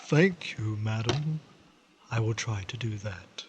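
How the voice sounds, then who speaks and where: An actor playing a weary, courteous personification of Death with a hesitant, formal, mournful manner in a comic radio drama. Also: male voice, medium pitch, slow, slight background noise, close to an everyday voice. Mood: courteous, glum. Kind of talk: comic old-time-style radio drama.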